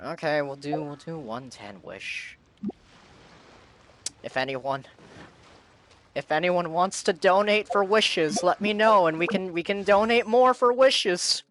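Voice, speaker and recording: A man's voice: high voice, animated, slow, pauses often, a bit bright. A man chatting casually on a livestream while gaming, repeating himself in playful, pleading fashion. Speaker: game live streamer. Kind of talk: casual livestream chat while playing a game.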